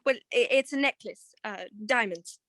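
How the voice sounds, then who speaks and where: Lady acting a nervous wife begging a detective, highish voice, stammery and polite, old radio drama, dry sound. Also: moderate pace, fairly bright tone, no room sound. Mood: flustered, pleading. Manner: breathless hesitations, stammering, pleading. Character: anxious, pleading married woman client, flustered and genteel.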